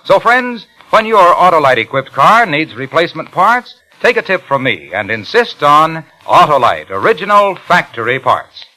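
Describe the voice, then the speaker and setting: A man's voice: a little high, big pitch swings, normal speed, few pauses, bright, forceful tone, low-quality recording. An American man reading scripted commercials as a 1950s radio announcer, with an emphatic salesman delivery on a vintage broadcast recording.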